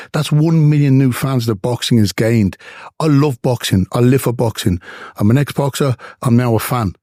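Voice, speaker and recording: Emphatic Irish ex-boxer, dry close mic. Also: male voice, mid-range voice, dark tone, dry recording. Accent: Dublin Irish with English traces. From Ireland. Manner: emphatic, repetitive storytelling. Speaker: guest, former boxer. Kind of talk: interview.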